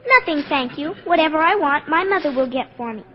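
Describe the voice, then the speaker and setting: Prim, high-voiced little schoolgirl. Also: lively intonation, a little fast. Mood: matter-of-fact, skeptical. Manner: precocious, polite, matter-of-fact. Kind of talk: 1940s radio acting. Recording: dull old 1940s radio recording.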